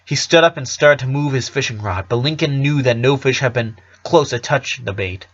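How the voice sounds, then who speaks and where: A man's voice: medium pitch, moderate pace, a bit bright, highs cut off. A man narrating a written story, reading fan fiction aloud.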